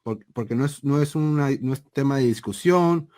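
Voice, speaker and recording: A man in his thirties with a mid-range voice speaks as an interview guest. He explains in a conversational but deliberate way, stressing key points and often adding a short tag question. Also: mid-range voice, dark tone. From Panama. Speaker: interview guest, seminary program director.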